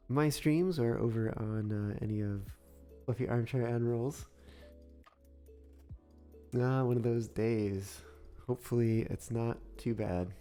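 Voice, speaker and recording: Man streamer talking slow and soft, a bit gravelly, mellow tone, quiet music going behind him. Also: medium pitch. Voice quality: soft, soothing. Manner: slow, musing, thinks aloud through sums, greets chat viewers. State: recovering from illness. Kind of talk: casual live-stream commentary while building a model railway in a game. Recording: faint music under the voice. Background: soft background music.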